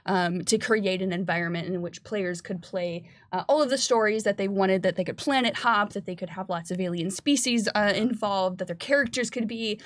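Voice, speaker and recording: A woman's voice: medium pitch, moderate pace, few pauses, dry recording. Woman, chatty enthusiast hosting a casual panel. Mid-range voice, dry sound. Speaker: host of a game developers' livestream.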